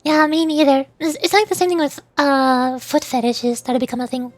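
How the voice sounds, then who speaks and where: Squeaky, very high-voiced girlish woman streamer. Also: steady tone, brisk, dry recording. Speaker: streamer and VTuber, voice actor. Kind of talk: casual livestream chat with viewers.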